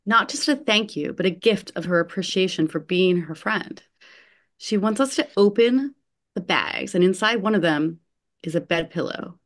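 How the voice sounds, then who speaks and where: A woman reading an earnest young female nursing home worker in an online play reading, halting and hesitant, over a video call. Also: lowish voice, animated, lightly acted. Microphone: video call computer mic.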